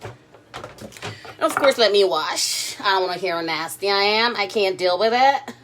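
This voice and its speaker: A woman chats playfully while she cooks at home, talking straight to the viewers of her live stream. Her pitch sits in the middle of the usual range. A faint hum of room noise stays in the background. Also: a little slow. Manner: chatty, playful, addresses viewers directly. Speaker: cooking livestream host. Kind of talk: casual live cooking chat. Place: home kitchen.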